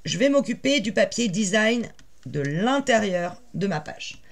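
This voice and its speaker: Woman with a cold, raspy voice, chatty crafting walkthrough, lots of "euh"s. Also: medium pitch, expressive, unhurried. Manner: chatty, many "euh" fillers, explains while working. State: has a head cold. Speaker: crafting tutorial host. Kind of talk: casual step-by-step craft tutorial.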